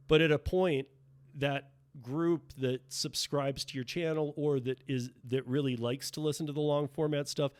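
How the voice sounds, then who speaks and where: A man hosting a solo podcast, slightly hoarse from losing his voice, chatting casually with lots of fillers and restarts. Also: mid-range voice, a little mellow, no echo. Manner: rambling, many fillers and restarts. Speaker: podcast host. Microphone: podcast microphone.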